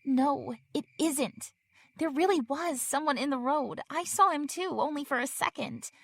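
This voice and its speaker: Very high, sharp, haughty teenage girl woman acting. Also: measured pace, vocal fry, dry recording, heavily acted. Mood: urgent, tense. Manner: biting, demanding. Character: injured, haughty, sarcastic teenage mean girl. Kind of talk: audio drama acting.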